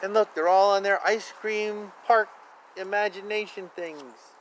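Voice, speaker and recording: A man's voice: high-pitched, steady tone, a little slow, rough recording. A man reading a picture book aloud to young children, playful and chatty, with exclamations and asides. He stretches words for emphasis. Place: outdoors. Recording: outdoor ambient noise, faint. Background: faint outdoor ambience.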